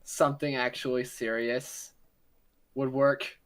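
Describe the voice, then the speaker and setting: A man's voice: mid-range voice, flat delivery, slow, fairly bright tone. A man hosting a casual podcast call, joking playfully and rambling between ideas. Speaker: podcast host.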